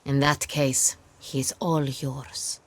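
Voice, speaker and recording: An actress playing a commanding duchess in a fantasy video game, speaking formally and with imperious authority. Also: female voice, extremely low pitch, level tone, very slow, exaggerated voice. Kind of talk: dramatic video game acting.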